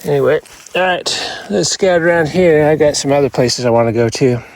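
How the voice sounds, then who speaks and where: A man vlogging casually outdoors, showing finds in short, laid-back muttered fragments with a gravelly, husky voice. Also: on the high side, normal speed, poor audio. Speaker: rockhounding vlogger. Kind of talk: casual outdoor show-and-tell. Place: outdoors on open hilly ground.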